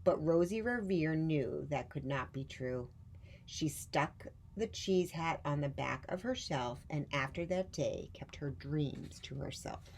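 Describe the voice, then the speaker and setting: A woman storytime reader with a low voice. She narrates a children's book at a slow, measured pace and puts dramatic emphasis on her words. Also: animated, darkish tone, slight background noise.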